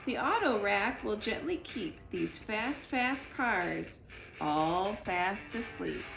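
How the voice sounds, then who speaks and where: A woman reading a children's picture book aloud in an expressive storytime style, with deliberate, playful emphasis on the rhyming words. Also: medium pitch, creaky. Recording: roomy echo with music behind. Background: music.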